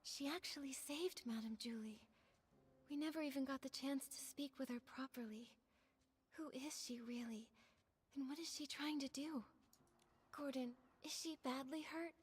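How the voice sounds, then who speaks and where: A woman voicing an earnest young female adventurer in an anime-style game, emphatic and expressive. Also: sits high, moderate pace, close to an everyday voice. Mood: concerned.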